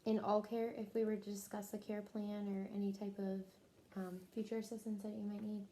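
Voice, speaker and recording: A woman with a soft, mellow voice works through a clinical intake interview as a nurse would. Her pitch sits in a middle range. There is faint noise behind her. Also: little pitch movement, brisk. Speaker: nurse interviewer taking a health history.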